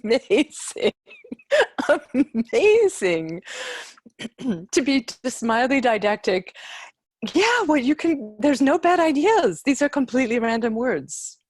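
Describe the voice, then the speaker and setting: A woman leading an online improv workshop for writers, encouraging and unhurried, often trailing off mid-thought. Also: sits high, big pitch swings. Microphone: video call.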